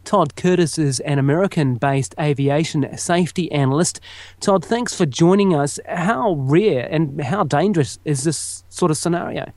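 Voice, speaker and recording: A man's voice: on the high side, normal speed, darkish tone, no room sound. A man presenting radio news and putting questions as an interviewer.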